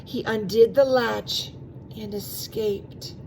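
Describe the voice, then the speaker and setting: A woman reading a storybook aloud to young children in an expressive, animated way, stretching out sound words and adding asides to the listeners. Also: mid-range voice, slow, vocal fry, slight background noise, rough recording.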